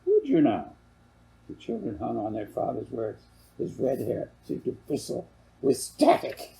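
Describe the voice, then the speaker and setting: Creaky, very dark-voiced man narrating. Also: medium pitch, big pitch swings, normal speed, slight background noise, rough recording. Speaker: author reading own story aloud. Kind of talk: scripted storybook narration.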